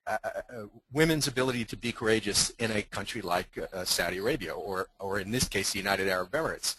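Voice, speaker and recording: A man's voice: medium pitch, sharp tone, slightly rough, low-quality recording. A man who runs leadership workshops, speaking earnestly and emphatically on a webinar panel.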